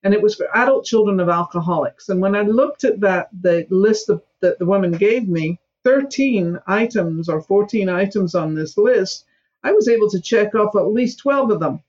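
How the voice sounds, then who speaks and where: A woman's voice: mid-range voice, lively intonation, moderate pace, a little mellow, dull audio. A woman in her seventies from Scotland, a guest in a podcast interview, speaking emphatically and sometimes mimicking whiny excuses. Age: 70s.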